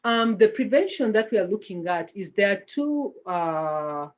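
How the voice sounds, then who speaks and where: A woman's voice: medium pitch, animated, measured pace, muffled recording. A woman with a Ugandan accent presenting slides on a video call, hesitant, with many fillers and restarts. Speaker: nonprofit founder presenting slides.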